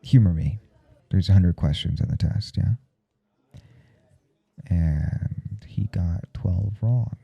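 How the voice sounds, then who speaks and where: A man playing a blunt, intimate professor in an ASMR audio roleplay, speaking in a halting, confiding way with a teasing, domineering edge. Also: muffled recording, full character voice. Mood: taunting, playful. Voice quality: low, gravelly, soft and dark. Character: adult male professor, intimate, callous, earnest, teasingly domineering.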